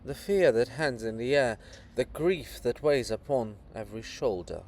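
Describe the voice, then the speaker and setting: A man voicing a troubled princess monument, soft, grave and earnest, in a dramatic reading. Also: mid-range voice, lively intonation, normal speed, slight background noise, voice pushed down, natural voice.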